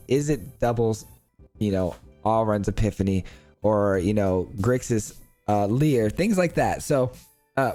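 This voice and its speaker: A man with a hoarse voice from illness, casually breaking down a card game deck for his channel viewers in an upbeat, chatty way. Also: medium pitch, a little mellow. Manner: upbeat, thankful, chatty with fillers. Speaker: card game video host.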